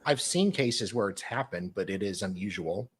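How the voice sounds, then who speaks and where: A man with a husky voice co-hosting a casual discussion and reading emails aloud. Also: lowish voice, expressive, normal speed.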